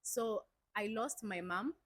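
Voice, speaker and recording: A woman appearing as a guest on a channel, speaking in a calm, measured way. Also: mid-range voice, no echo, clean studio sound. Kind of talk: casual chat.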